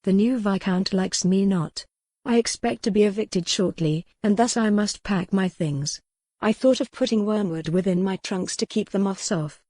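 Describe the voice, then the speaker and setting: A woman's voice: medium pitch, moderate pace, warm tone, no echo, lightly acted. Frail, wry young noblewoman, formal speech , read by a woman narrator.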